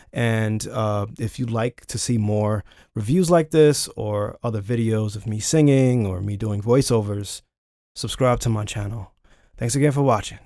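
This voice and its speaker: A man's voice: medium pitch, darkish tone, dry recording. A man giving a casual, chatty product review, talking informally to viewers on a close studio condenser microphone in a home studio. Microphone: close large-diaphragm studio condenser microphone.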